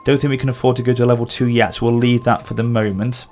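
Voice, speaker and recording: A man's voice: mid-range voice, level tone, quick pace, darkish tone. A man with a sore throat and occasional cough, casually commenting as he plays a strategy game. He thinks aloud and corrects himself as he goes. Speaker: gameplay channel host.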